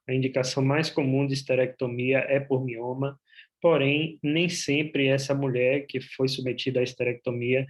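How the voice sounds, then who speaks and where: Flat-voiced Bahian man explaining. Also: mid-range voice. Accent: Bahian Portuguese. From Bahia, Brazil. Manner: explanatory, with frequent "é" fillers. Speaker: gynecologist. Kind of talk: online medical presentation. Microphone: video call.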